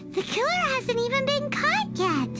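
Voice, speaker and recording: Squeaky, excitable little fairy. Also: female voice, a little slow, heavily acted. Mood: indignant. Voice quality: squeaky, childlike. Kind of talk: English video game voice acting. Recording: loud background music.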